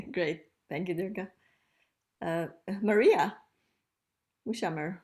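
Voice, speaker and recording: Brisk woman hosting a video meeting. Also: fairly low pitch. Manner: brisk, courteous. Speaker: meeting host. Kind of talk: moderating introductions. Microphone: video call.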